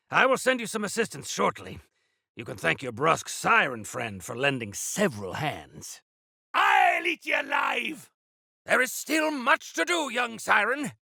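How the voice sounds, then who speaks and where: An actor playing a defiant, feisty old exiled warrior-engineer in a video game, gravelly and theatrical, with grandiose, archaic turns of phrase. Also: male voice, sits high, sharp tone, dry recording, studio quality, exaggerated voice. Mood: rallying. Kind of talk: video game character acting.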